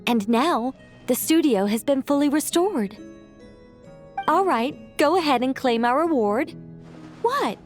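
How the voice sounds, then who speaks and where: A woman playing a serene, ancient angel storyteller in a video game, eloquent and measured, with a wry, gently teasing streak. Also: high voice, lively intonation, faint music behind. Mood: playful. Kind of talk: video game character acting, storybook narration.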